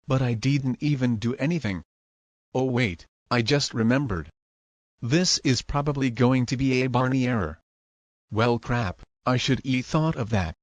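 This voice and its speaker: A man's voice: mid-range voice, no echo, muffled recording. A man playing a whiny, complaining young man who is annoyed at his computer.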